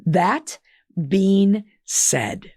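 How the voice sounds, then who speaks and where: A woman's voice: medium pitch, sing-song, very slow, a little mellow, slightly rough, dry recording, studio quality. A woman hosting a solo podcast while she has lost her voice, reading out a list of words with chatty asides. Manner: chatty, reads list entries aloud with asides. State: hoarse, losing her voice. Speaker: podcast host.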